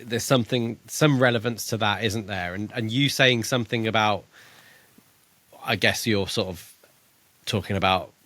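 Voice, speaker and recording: A man's voice: fairly low pitch, normal speed, a bit bright, dry recording. A man co-hosting a podcast, talking about a book in a hesitant way, with many "um"s and "yeah"s. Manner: hesitant, lots of "um" and "yeah", laughs easily. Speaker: podcast co-host. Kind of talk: casual book discussion.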